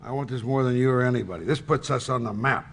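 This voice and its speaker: An actor playing a gruff, impatient newspaper editor who talks brusquely and swears, in a naturalistic audio drama. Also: male voice, medium pitch, fast, slight background noise, lightly acted. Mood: pressing.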